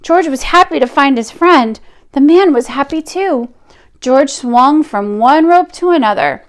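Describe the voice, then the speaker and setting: A woman reading a picture book aloud to children, expressive, with drawn-out emphasis and chatty asides. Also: high-pitched.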